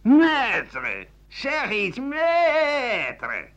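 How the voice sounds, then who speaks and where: An actor voicing insistent, pestering reporters who needle a famous writer in a radio play. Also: male voice, wildly swooping pitch, extremely slow, a bit bright, exaggerated voice. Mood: needling. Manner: insistent, mocking, raised pitch. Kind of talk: 1980 Dutch radio play. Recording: muffled old radio broadcast recording.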